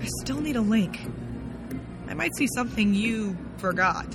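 An actor playing a firm police detective in a sci-fi audio drama, speaking in curt, dry commands. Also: male voice, high-pitched, lively intonation, normal speed. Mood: dry, insistent. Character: firm, no-nonsense police detective at crime scene. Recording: loud music under the dialogue.

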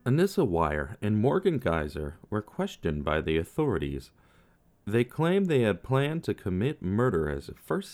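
A man giving scripted true crime narration, measured, with casual asides to the viewer. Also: lowish voice, a little mellow. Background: faint guitar music.

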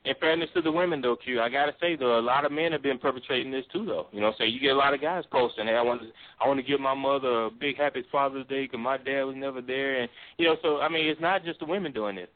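Fast-talking baritone man on a phone line. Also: no room sound. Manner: emphatic, laughs often, repeats "you know what I'm saying". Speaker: guest or co-host on a call-in talk show. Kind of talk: casual opinionated chat. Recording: thin phone-line sound.

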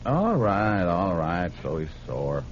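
A man's voice: sits low, sing-song, takes it slowly, slight background noise, exaggerated voice. An American man acting a tough, wisecracking private detective in 1940s radio style, with a wry delivery. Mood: flippant. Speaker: lead actor and narrator. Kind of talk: 1940s radio acting, hard-boiled narration. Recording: dull old radio recording, music.

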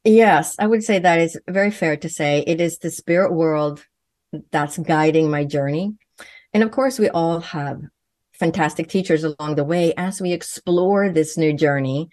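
A woman speaks in a low, deep register, earnest and fluent, though she often restarts her phrases. The sound is close and dry, with no room echo. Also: normal speed, darkish tone. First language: Swedish. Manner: earnest, fluent with frequent self-corrections. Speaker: guest, medium and physician. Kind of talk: conversational interview answers.

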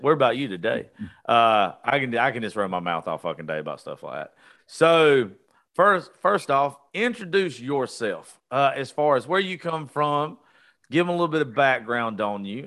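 A man's voice: mid-range voice, a bit bright. A man, hosting a casual interview chat in a blunt, joking, foul-mouthed way. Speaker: show host.

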